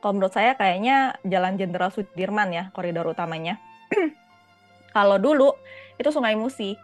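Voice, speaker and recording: A woman lecturer with a cold and a stuffy nose, giving an online lecture with many fillers, and clearing her throat often. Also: medium pitch, expressive, moderate pace, faint music behind.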